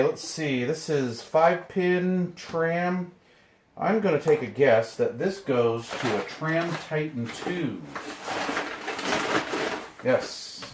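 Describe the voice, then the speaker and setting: A man giving casual, rambling, laid-back unboxing commentary as a hobbyist host, muttering to himself as he goes. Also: fairly high pitch. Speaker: video host, hobbyist radio collector. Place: indoor room, handling items from boxes. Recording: echoey room with clear noise and clicks.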